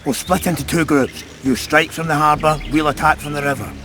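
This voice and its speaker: A man's voice: a little high, fairly even intonation, brisk, over music, close to an everyday voice. An actor playing a wary, defiant male rebel fighter in a video game, suspicious and commanding.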